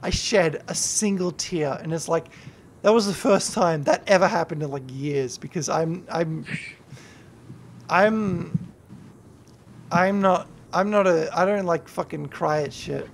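A drunk young man rambling and swearing through a gameplay livestream, yelling at the game now and then. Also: on the high side, a little slow, slight background noise. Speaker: YouTuber and streamer.

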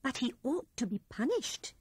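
A woman doing a gentle, hushed grey rabbit. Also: high voice, very animated, moderate pace, breathy edge, no echo, voice pushed up, exaggerated voice. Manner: soft, hushed, earnest.

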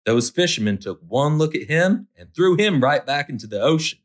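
A man's voice: fairly high pitch, sing-song, moderate pace, faint music behind. A man narrating a children's picture book as a storytime host, expressively, drawing out key words for effect.

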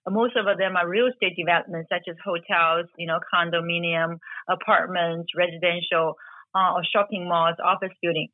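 A woman's voice: fairly low pitch, fairly even intonation, normal speed, no room sound. A woman from China, a Chinese and Korean speaker, in her forties, explaining immigration law as a guest, with many hesitations. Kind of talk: interview answers. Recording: phone line.

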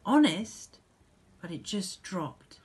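An acting student playing a frightened, guilt-ridden young child in a dramatic stage monologue, speaking earnestly and naively in halting short sentences. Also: medium pitch, very animated, darkish tone, breathy edge, full character voice. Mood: straining, desperate.

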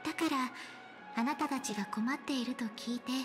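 A woman playing a gracious, gentle young celebrity singer in a Japanese video game, speaking with polite, graceful phrasing. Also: high voice, fairly quick, over music, lightly acted.

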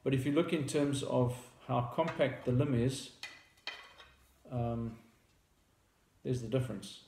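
South African man with a gravelly voice. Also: medium pitch, measured pace, a little mellow, reverberant, slight background noise. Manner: casual, hesitant with many fillers and restarts. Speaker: bow company founder. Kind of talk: product explainer talk to camera. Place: small indoor room at a table.

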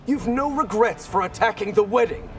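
An actor playing an earnest, concerned young ninja fighter in a video game, direct and questioning. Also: male voice, high voice, normal speed. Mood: reproachful. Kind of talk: video game character dialogue. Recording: loud music under the voice. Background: loud game music.

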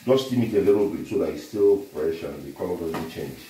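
A man's voice: low-pitched, expressive, fairly quick, warm tone. An older man chatting as he cooks in his home kitchen, repeating himself and boasting of his dishes. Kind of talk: casual cooking video. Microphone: phone camera held by another person. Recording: roomy kitchen, mic a bit far.

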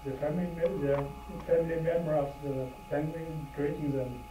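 A man giving a halting impromptu speech at a club meeting, with many fillers. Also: a little high, steady tone. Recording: echoey room from far off, noisy.

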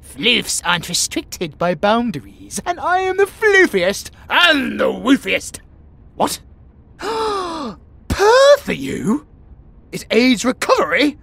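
Bratty haughty tiger boy, super pompous, swoops all over, bright and piercing, audio roleplay. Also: extremely high pitch, very animated, a little slow, creaky, no echo, heavily acted. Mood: flustered, haughty. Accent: British. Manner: pompous, theatrical, mock-archaic outbursts. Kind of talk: comedic audio roleplay acting.

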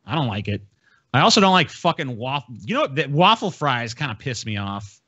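A man with an American accent and an ordinary mid-pitched voice, recorded close and dry with slightly muffled sound. He talks like a podcast host riffing with friends, blunt and full of swearing. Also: very animated, brisk, sharp tone, no echo. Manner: profane, blunt. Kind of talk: casual chat, ranting banter. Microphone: close podcast microphone on a video call.

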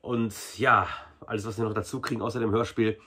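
A man in his forties, chatty and enthusiastic, talking casually through an unboxing review with a slightly husky voice. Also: fairly low pitch, fairly even intonation, a little fast, sharp tone. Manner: chatty, enthusiastic fan talk. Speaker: video reviewer.

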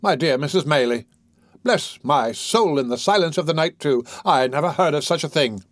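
A man's voice: a little high, moderate pace, no echo, studio quality, lightly acted. A man doing a flustered fat old doctor. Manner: excitable, flustered, blustering.

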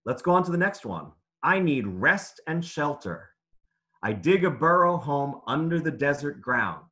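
Male read-aloud teacher for young kids: bright, expressive pitch, clear instructions, encouraging delivery. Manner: instructive, simple phrasing for young children, encouraging. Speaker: author and teacher reading aloud. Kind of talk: interactive children's read-aloud lesson over video call.

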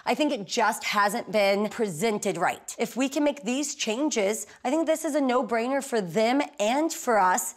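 A woman's voice: a little high, animated, measured pace, hardly any pauses. A woman co-hosting a home renovation show, talking through a house in a casual, upbeat, decisive way. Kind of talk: casual on-site chat and narration. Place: house under renovation, indoors.

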